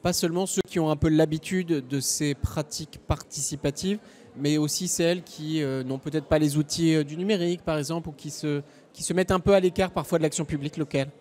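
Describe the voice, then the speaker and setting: Male moderator with a slightly high voice. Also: steady tone, brisk, darkish tone. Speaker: debate moderator. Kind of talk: live panel moderation, questions.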